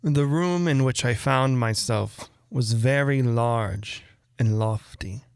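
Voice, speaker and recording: A man reading a Gothic tale aloud as a literary narrator, in deliberate phrases with frequent pauses. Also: mid-range voice, a little mellow, clear, resonant tone, dry recording, clean studio sound. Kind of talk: measured literary audiobook narration.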